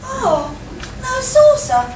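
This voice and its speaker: A woman's voice: very high voice, very animated, drawn-out words, pitched above the performer's own voice, heavily acted. A woman playing a dotty, hospitable church lady in an amateur radio comedy, fussing in a fluttery, hesitant way. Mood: fussy, eager. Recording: roomy, distant live hall recording, noisy.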